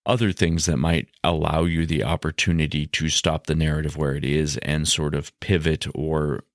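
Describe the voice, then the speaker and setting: A man hosting a podcast, giving scripted explanations in a measured, instructional way. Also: extremely low pitch, little pitch movement, no room sound, studio quality. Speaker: podcast host.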